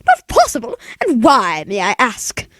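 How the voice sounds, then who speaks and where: A woman's voice: huge pitch swings, measured pace, fairly bright tone, no echo, full character voice. A woman playing a nervous, demanding, self-pitying invalid wife in a melodramatic 1940s-style radio play. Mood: indignant, nervous. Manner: imperious, melodramatic, shrill, fretful.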